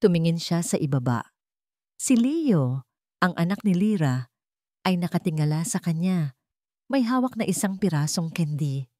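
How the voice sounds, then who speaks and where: A woman narrating a scripted sentimental drama in measured, short phrases. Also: on the low side, big pitch swings, mellow, no room sound, clean studio sound.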